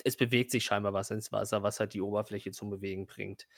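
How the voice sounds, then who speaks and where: Low-pitched man narrating a tabletop game. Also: steady tone, quick pace, gravelly, dry recording, studio quality. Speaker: game master of a tabletop role-playing show.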